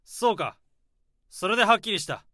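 A man playing a young office worker who calmly unravels a crime in a radio drama. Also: high-pitched, no echo, clean studio sound, full character voice. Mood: accusing. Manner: calm, probing, deliberate.

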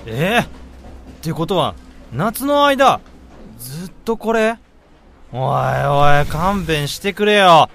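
Blunt, grumbling office guy played by a man, slow-paced. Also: a little high, sing-song, fairly bright tone, a little hiss or hum, full character voice. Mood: grumbling, exasperated. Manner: rough, casual, complaining speech. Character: blunt, grumbling male senior office colleague, work-shy. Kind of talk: radio drama acting.

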